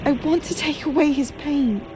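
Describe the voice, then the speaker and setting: A woman's voice: high-pitched, normal speed, over music, close to an everyday voice. An actress playing an earnest, determined young witch in a video game cutscene. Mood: pained, pleading. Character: earnest, determined young witch, troubled yet self-possessed.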